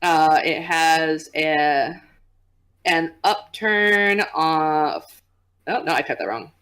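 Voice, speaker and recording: A young woman with a low, easygoing voice that carries a soft rumble. She talks in a loose, chatty way, laughing often as a podcast guest. Her pitch sits a little under most women's. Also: drawn-out words. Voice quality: low voice with a relaxed vocal rumble. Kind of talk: casual podcast interview.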